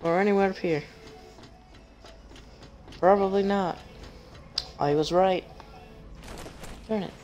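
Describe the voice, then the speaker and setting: A woman with a deep voice, chatting casually as she plays a game on stream, joking at herself and humming while she searches. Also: steady tone, slow pace, long pauses, darkish tone, muffled recording, slight background noise. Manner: chatty, self-mocking, giggly, hums while searching. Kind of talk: casual gameplay commentary.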